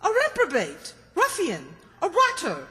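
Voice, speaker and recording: Woman acting imperious scold, very high voice, sweeping pitch, haughty, declamatory, radio comedy, echoing hall. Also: wildly swooping pitch, measured pace, pushed and bright, full character voice. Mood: indignant. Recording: reverberant hall stage recording.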